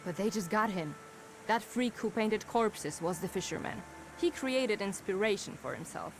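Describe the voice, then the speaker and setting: An actress voicing a wary, sharp-tongued street woman in a video game, speaking in a mocking, suspicious way. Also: mid-range voice, animated, normal speed, noisy background, lightly acted.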